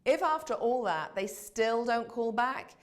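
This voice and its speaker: A woman's voice: on the high side, lively intonation, measured pace. Woman doing a scripted coaching video, voice goes up and down a lot, polished n emphatic. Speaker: host of a business coaching web show. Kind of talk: scripted advice to camera.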